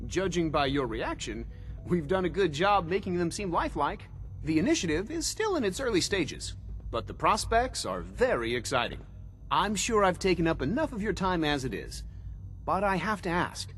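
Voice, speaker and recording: Courteous scientist, high voice, lively intonation, eager and articulate, game dialogue, music behind. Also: male voice, moderate pace. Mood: enthusiastic explaining. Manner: polite, eager, articulate explaining. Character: enthusiastic, courteous male scientist, head of bioscience division. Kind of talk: video game dialogue acting.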